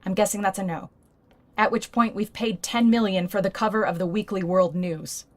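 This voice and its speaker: An actress playing a shrewd, blunt journalist in a naturalistic audio drama, speaking frankly and persuasively. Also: female voice, medium pitch, steady tone, moderate pace, natural voice. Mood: firm, sceptical. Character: shrewd, blunt female journalist negotiating a risky deal.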